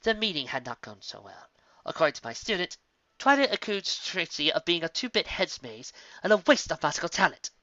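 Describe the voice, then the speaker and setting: A man voicing a regal, ancient female alicorn princess who speaks in measured, formal royal diction. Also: a little high, sing-song, bright, forceful tone, dry recording, lo-fi sound, voice pushed up. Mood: stern.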